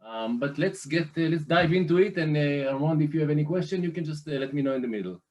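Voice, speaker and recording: Israeli-accented man with halting delivery. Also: a little high, lively intonation, a little mellow, far from the mic, muffled recording. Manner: halting, many filler pauses and restarts. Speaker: guest presenting a lending program. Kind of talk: slide presentation over a call.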